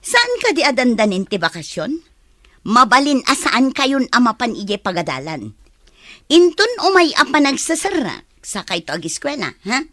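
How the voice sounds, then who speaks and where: A woman's voice: on the high side, sing-song, moderate pace, sharp tone, no echo, clean studio sound, exaggerated voice. A woman playing a stingy, scolding stepmother in an audio drama, curt and dismissive. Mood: curt, bossy. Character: stingy, scolding stepmother aunt, neglecting two children. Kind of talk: audio drama acting in Ilocano.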